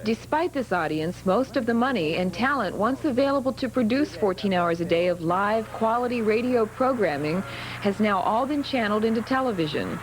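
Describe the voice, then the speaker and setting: A woman's voice: medium pitch, expressive, moderate pace, background noise. A woman with an American accent reading scripted narration as a television magazine reporter.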